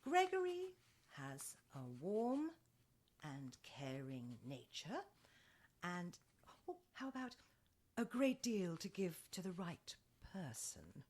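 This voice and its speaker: Woman doing a gushy middle-aged widow in a radio play, chatty, very swoopy, bit husky. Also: on the low side, sing-song, slow, a little mellow, exaggerated voice. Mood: gushing flattery. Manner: effusive, theatrical, chatty. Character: gushing, genteel widowed dating agency owner, middle-aged woman.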